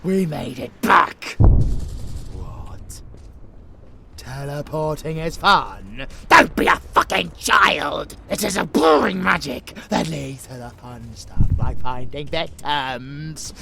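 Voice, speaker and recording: A man playing a sadistic, gloating vampire monster in an audio roleplay, with a gravelly, menacing, foul-mouthed delivery that savors every word. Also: a little high, animated, takes it slowly, background noise, exaggerated voice. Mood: excited, scornful. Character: sadistic, gloating male vampire monster who relishes slaughter.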